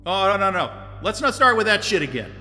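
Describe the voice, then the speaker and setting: Weary, sarcastic man, high and lively. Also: fairly quick, in a large hall, faint music behind. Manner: weary, sarcastic and short-tempered. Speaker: lead character. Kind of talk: audio drama acting.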